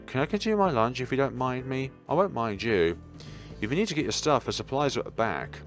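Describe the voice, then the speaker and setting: Guy doing a blunt deadpan anime game schoolgirl, curious and rambly, pitch moves around, light music under it. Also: fast talker, natural voice. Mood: musing. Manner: deadpan, straightforward, rambling musings.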